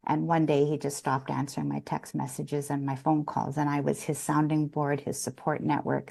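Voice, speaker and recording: A woman's voice: low voice, vocal fry, darkish tone. A middle-aged woman guest telling her life story frankly, reflective and rambling, with a few restarts. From Canada. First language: Hungarian. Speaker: interview guest. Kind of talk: candid personal storytelling.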